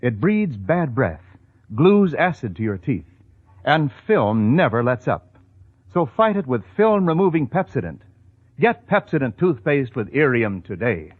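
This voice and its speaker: Animated 1940s American radio announcer man. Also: medium pitch, measured pace, dry recording. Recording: muffled 1940s radio broadcast, organ music. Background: loud music.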